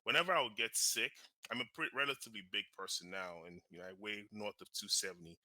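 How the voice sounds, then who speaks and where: A man from Nigeria, a guest on an interview show, telling his life story in a lively, casual way with many fillers and restarts. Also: lowish voice, fast, no echo. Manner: animated, frequent fillers and restarts. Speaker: guest, real estate investor and author.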